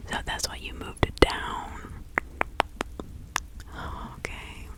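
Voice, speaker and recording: A woman plays an older village witch from the 1650s in an ASMR roleplay. She speaks in a breathy whisper at a slow, halting pace. Her manner is soothing, chatty and sympathetic, as if sharing confidences with a visitor. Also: lightly acted. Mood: curious, slightly confused.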